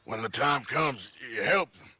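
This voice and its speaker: An actor playing a weary, gruff American outlaw with a Western drawl, terse and plain-spoken, in a dramatic video game. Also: male voice, mid-range voice, animated, a little slow, sharp tone, full character voice. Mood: earnest, somber.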